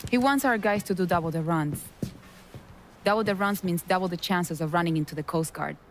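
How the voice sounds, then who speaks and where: An earnest smuggling underboss in a crime game. His voice is high for a man and his delivery direct and emphatic, with faint noise around it. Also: a little fast. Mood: businesslike. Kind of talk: video game cutscene acting.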